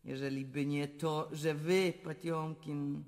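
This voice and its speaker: A mature empress in a theatrical radio play, coquettish yet commanding. She speaks slowly, mixing in German, French and Russian, in a long, reverberant hall. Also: sits low, little pitch movement, darkish tone. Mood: coquettish, sentimental. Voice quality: foreign-tinged speech mixing German, French and Russian words. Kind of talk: theatrical radio drama acting.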